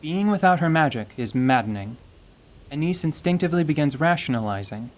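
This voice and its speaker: A man reading scripted narration for a fantasy audio drama. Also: medium pitch.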